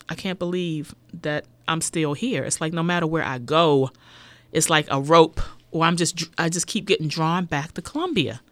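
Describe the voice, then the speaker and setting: A middle-aged woman co-hosting a local radio show, reminiscing in a soft, heartfelt way. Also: low voice, dry recording. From United States. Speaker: radio co-host. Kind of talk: casual radio chat.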